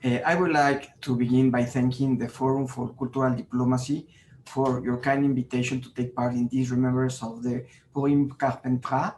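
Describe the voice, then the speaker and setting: A man's voice: medium pitch, little pitch movement, normal speed, darkish tone, a little hiss or hum. A man representing his country, reading a formal prepared statement steadily. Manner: reads a written statement steadily.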